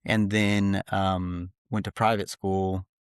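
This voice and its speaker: Deep-voiced earnest man talking. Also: little pitch movement, slow pace, smooth, no room sound, clean studio sound. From grew up in Slovakia. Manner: reflective, earnest, many fillers. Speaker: podcast guest. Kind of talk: casual interview talk. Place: home office.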